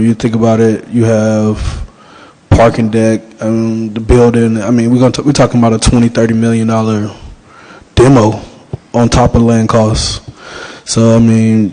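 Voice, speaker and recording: A man's voice: fairly low pitch, dark tone, rough recording. A man speaking as a commissioner at a public planning meeting, hesitant with many fillers.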